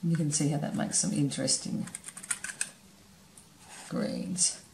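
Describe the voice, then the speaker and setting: Low, gravelly woman teaching painting, relaxed, thinking aloud, echoey studio room. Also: unhurried, slight background noise. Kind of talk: painting demonstration narration. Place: studio table, camera overhead.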